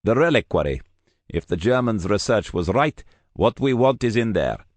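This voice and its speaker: A ruthless Russian gang leader, voiced by a male narrator, speaks with cold, clipped menace in a Russian accent. His words come out flat and threatening. The sound is dry and close. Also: lowish voice, moderate pace, no echo, pitched above the performer's own voice. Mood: commanding.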